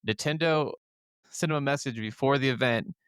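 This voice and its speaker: A man with a smooth, clear voice talks casually as a podcast co-host. His speech is slangy and peppered with swearing. The sound is dry, with very little room around it. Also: medium pitch, moderate pace, fairly bright tone, no room sound. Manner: profane, slangy, says "dude" often. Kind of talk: casual gaming podcast chat.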